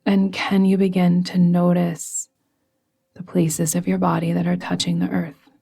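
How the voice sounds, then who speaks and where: A woman guides a meditation very slowly, in an even, barely varying tone. Her voice is soft and breathy, with a creaky edge as phrases settle. She instructs gently and soothingly. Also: fairly low pitch. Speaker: yoga nidra guide. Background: music.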